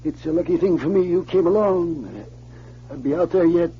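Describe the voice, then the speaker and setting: Guy playing hurt helpless miner, highish plaintive halting voice, old radio drama, muffled sound. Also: slight background noise, close to an everyday voice. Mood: resigned, grateful. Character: injured, blinded gold miner, helpless but grateful uncle. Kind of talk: 1940s radio acting.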